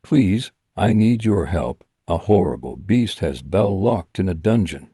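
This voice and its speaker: A man playing a flustered, kindly old inventor with a husky voice in a storybook drama. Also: sits low, expressive, unhurried, dark tone, dry recording, studio quality, full character voice. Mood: desperate. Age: older. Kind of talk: storybook audio drama acting.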